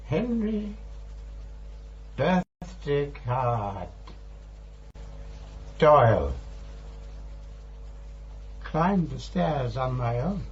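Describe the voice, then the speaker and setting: An actor playing a vague, forgetful old man in a radio drama, creaky and halting, bewildered and repeating himself. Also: a little high, heavily acted. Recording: dull radio recording, faint noise and hum.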